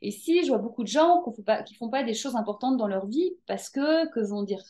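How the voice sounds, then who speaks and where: Lively woman chatting as guest. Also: a little high, a little fast. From Belgium. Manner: lively, emphatic, frequent hesitations and filler words. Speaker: podcast guest, coach. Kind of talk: casual interview chat.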